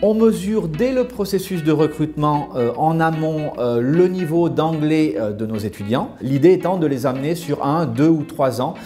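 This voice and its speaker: A man explaining a school's programs in a measured way, with frequent hesitations. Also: on the high side, expressive, mellow, soft background music. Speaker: school representative. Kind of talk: promotional interview.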